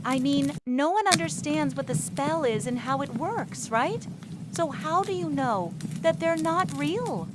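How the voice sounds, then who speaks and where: A woman's voice: sits high, animated, measured pace, pitched above the performer's own voice. Woman playing a drowsy, wry slum kid, noisy audio. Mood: doubtful. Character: sleepy, wry teenage slum boy, indifferent.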